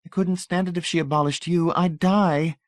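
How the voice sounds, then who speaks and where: A man's voice: fairly high pitch, fairly even intonation, moderate pace, dry recording, studio quality, voice pushed up, close to an everyday voice. A man voicing an anxious, devoted young woman who pleads earnestly in a raised voice. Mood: pleading.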